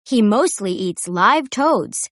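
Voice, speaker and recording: A woman voicing a calm, patient male teacher in a storybook read-aloud. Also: mid-range voice, slow, no room sound, studio quality.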